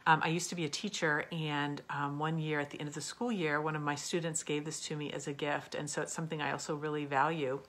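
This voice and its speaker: A woman's voice: low voice, level tone, fast, hardly any pauses, a bit bright. A woman hosting a children's storytime, explaining things expressively and putting questions to her young listeners. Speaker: storyteller, former teacher. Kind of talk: read-aloud storytime for children, with asides and questions.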